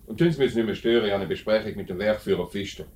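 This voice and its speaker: An actor in a 1950s Swiss German radio play, playing a lenient, hesitant company director who speaks deliberately. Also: male voice, fairly low pitch, noisy background, close to an everyday voice. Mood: businesslike. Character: lenient, hesitant company director, middle-aged man in charge.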